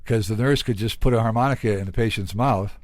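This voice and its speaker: A man with a fairly low voice works as an interview host. He speaks conversationally, with a chatty, joking manner that moves easily between questions and asides. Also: quick pace, dry recording.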